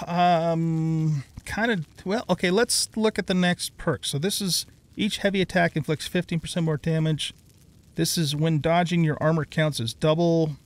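Higher-voiced man casually narrating gameplay. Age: middle-aged. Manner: thinking aloud, conversational. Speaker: let's play streamer.

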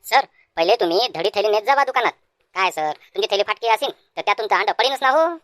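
A woman's voice: mid-range voice, animated, fast, fairly bright tone, lightly acted. A woman voicing a cheeky, argumentative village schoolboy in a comic cartoon, with a Vidarbha Marathi accent. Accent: Marathi, Vidarbha region. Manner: brazen back-talk.

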